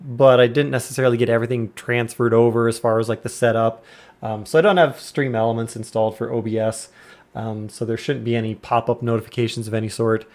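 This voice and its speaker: Soft-spoken man chatting while gaming. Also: a little high. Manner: chatty, relaxed, greets viewers by name; deliberately quiet, subdued voice. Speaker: game streamer. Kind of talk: casual live-stream chat while gaming. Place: quiet home room.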